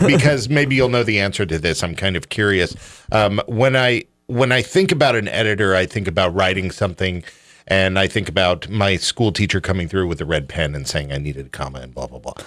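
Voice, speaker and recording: A man's voice: sits low, animated, brisk, a bit bright, no echo. A man hosting a radio show, chatting casually in a joking, teasing way. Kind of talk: casual chat.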